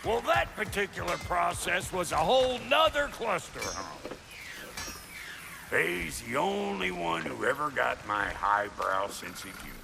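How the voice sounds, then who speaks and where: An actor playing a boisterous, cranky, proud dwarf blacksmith in a video game, with a gravelly voice and folksy, irreverent talk. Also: male voice, a little high, very animated, measured pace, over music, heavily acted. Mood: wistful, musing. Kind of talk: video game character acting.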